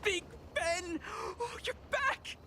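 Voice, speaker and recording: An actor playing an excitable, deeply grateful character in a video game, gushing and earnest. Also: male voice, a little slow, gravelly, slight background noise, heavily acted. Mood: overjoyed. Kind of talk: animated video game voice acting.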